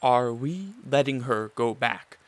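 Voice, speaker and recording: An actor playing a weary, gloomy vampire count in a radio drama, courteous and self-deprecating, with a halting, formal, dry delivery. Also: male voice, medium pitch, lively intonation, no room sound.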